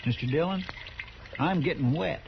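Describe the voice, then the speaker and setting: A man's voice: fairly high pitch, moderate pace, gravelly. An American man playing a folksy, deferential, plaintive deputy sidekick in an old radio western. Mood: uneasy, plaintive. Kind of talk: 1950s radio western acting. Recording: muffled, noisy old radio broadcast.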